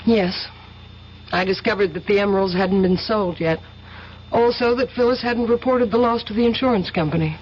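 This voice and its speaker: A woman's voice: mid-range voice, normal speed, natural voice. A woman playing a composed young smuggler. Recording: lo-fi radio broadcast with clear hiss.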